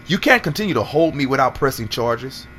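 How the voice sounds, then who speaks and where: Actor playing an animated, smitten male senator. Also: medium pitch, brisk, a little hiss or hum, natural voice. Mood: defiant. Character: smitten, self-assured male senator fighting for common people. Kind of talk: audio drama acting.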